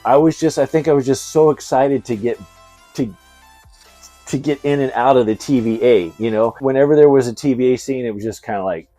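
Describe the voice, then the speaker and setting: Man, mellow tone, slangy and effusive, casual review talk, dry close sound. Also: mid-range voice, fairly quick, no room sound, faint music behind. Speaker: video host, movie reviewer.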